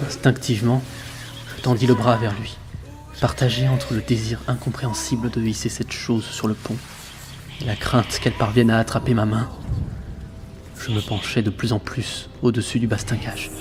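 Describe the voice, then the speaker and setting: A man playing a haunted, uneasy sailor telling his journal in a tense, measured way for an audio drama. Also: medium pitch, level tone, lightly acted. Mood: terrified, intense. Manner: tense, suspenseful, measured storytelling. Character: haunted young male sailor keeping a journal at sea, uneasy, rational. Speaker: narrator and lead actor of an audio drama. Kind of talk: dramatic first-person narration, audio fiction. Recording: prominent music behind the voice. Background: dramatic music.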